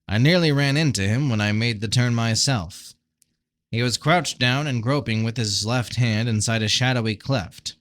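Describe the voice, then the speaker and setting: A man's voice: lowish voice, fairly bright tone, no echo. A tired-sounding man giving a scripted narration of a fantasy novel, as an amateur audiobook reader.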